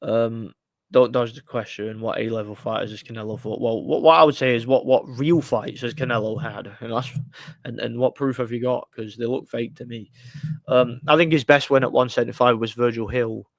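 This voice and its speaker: A man's voice: medium pitch, steady tone, moderate pace, no room sound. Male, 30s, stream host; blunt, frustrated, lots of restarts, very dry mic. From United Kingdom. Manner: rambling, frequent restarts and fillers. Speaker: livestream host. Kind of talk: casual live-stream boxing chat.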